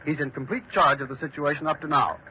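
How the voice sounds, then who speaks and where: Hissy old radio, actor doing solemn male news announcer, clipped n dramatic, slightly high voice. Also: steady tone, fast, natural voice. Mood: grave, dramatic. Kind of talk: 1940s radio drama, scripted bulletins. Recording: muffled, hissy 1940s radio recording.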